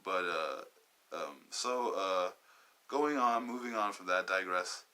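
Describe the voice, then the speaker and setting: A man in his twenties from Southern California, hosting a personal podcast monologue, halting and wandering as he searches for words. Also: low-pitched, pushed and bright. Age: 20s. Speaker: podcast host.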